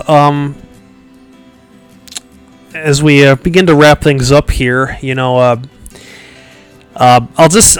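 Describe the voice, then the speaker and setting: A man's voice: mid-range voice, clear, resonant tone. A man hosting a podcast in casual, rambling chat, with a nasal, congested-sounding voice and lots of fillers and restarts. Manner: rambling, many fillers and restarts, chuckles often. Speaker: podcast host.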